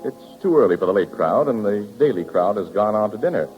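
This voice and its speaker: A man's voice: on the low side, expressive, fairly quick, faint music behind. A man announcing on a 1940s radio show, reading a script with a polished, emphatic delivery on a muffled old recording.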